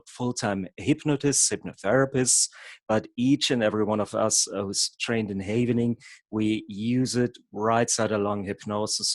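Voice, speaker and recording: A man's voice: lowish voice, no room sound. A man from Germany with a German accent, a hypnotherapist presenting an online workshop in an explanatory way, with occasional stumbles over words. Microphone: video call.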